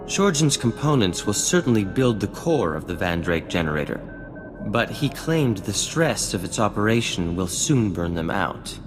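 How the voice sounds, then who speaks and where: An actor playing a vain, refined scientist-inventor in a video game cutscene, speaking in a formal, theatrical and florid way. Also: male voice, lowish voice, big pitch swings, moderate pace. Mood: pleased, courteous. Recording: long reverb, music underneath.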